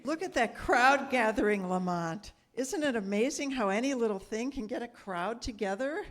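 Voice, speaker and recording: A woman's voice: a little high, expressive, normal speed, natural voice. A woman playing a sympathetic, inquisitive lady companion to a detective in old-time radio drama style. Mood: sympathetic, concerned.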